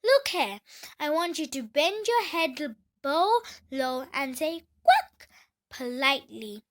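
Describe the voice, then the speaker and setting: A woman's voice: high-pitched, big pitch swings, slow, exaggerated voice. A woman voicing a protective, patient but firm mother duck in a children's storybook, coaxing and instructing her little ones. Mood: stern. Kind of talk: children's storybook read-aloud.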